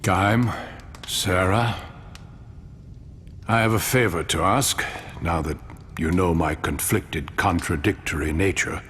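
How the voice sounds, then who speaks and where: A grave male ruler with a very low voice, speaking slowly and formally. He sounds weary and principled, every phrase deliberate, as in a dramatic video game scene. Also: fairly bright tone. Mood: solemn, earnest. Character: weary mortal male ruler, grave, idealistic yet pragmatic. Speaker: voice actor in a game cutscene. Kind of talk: dramatic game cutscene acting. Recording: long hall reverb, low rumble.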